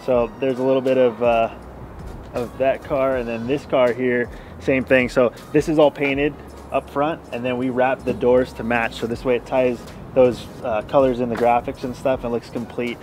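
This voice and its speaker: A man's voice: medium pitch, level tone, moderate pace, darkish tone, slight background noise. A man in his thirties talking casually in a laid-back, slangy way, like a shop vlog host. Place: in and around an auto shop and yard. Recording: music bed behind the voice.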